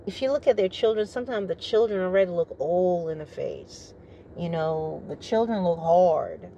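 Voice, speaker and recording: A middle-aged woman, a retired soldier, with a naturally deep, rich voice, speaking in a measured, formal way, as if giving a briefing. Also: expressive, warm tone, dull audio, slight background noise. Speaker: retired military vlogger. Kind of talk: opinionated monologue to camera.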